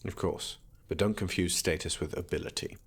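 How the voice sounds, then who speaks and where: An actor playing a condescending young prince studying magic in an audio drama, speaking in a formal, measured, haughty manner. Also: male voice, low voice, fairly even intonation, breathy edge. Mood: dry, polite.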